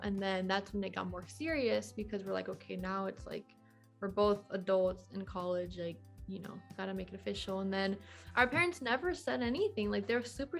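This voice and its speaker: A woman's voice: mid-range voice, vocal fry. Young woman chatting informally over faint music. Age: 20s. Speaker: podcast guest. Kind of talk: casual conversational interview.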